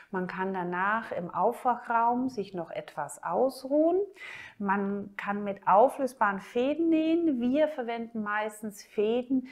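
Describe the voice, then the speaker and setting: German woman, plastic surgeon, calm measured explainer, mid-pitched voice. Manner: calm, measured and informative, points while explaining. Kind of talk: explaining a procedure to camera.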